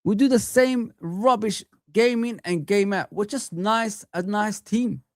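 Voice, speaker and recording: A man's voice: sits high, a little slow, darkish tone, dry recording, studio quality. A young man hosting a live fan chat stream, ranting heatedly and repeating himself.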